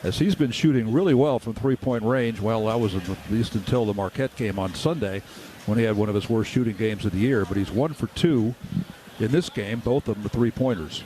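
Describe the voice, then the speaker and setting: A man's voice: lowish voice, a little fast, dark tone, slight background noise. A man working as a color commentator on live basketball radio, explaining plays and stats. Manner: explanatory, stat-focused. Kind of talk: live radio-style sports analysis.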